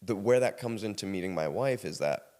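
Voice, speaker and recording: Podcast guest: American man, low voice, casual animated storyteller, recorded with long reverb. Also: fairly quick. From South Carolina, United States. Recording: reverberant hall-like room sound.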